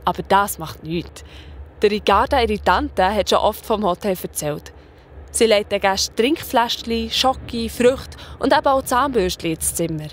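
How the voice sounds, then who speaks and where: A woman's voice: mid-range voice, lively intonation, moderate pace, a little hiss or hum. A woman with a Swiss German accent narrating a scripted children's story in a colloquial storytelling manner.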